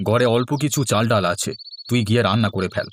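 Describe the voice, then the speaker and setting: A man's voice: on the low side, a little fast, slight background noise, lightly acted. A man voicing a bossy, lazy elder brother. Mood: scheming, bossy. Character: bossy, lazy eldest brother exploiting his youngest brother. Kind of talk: cartoon story voice acting.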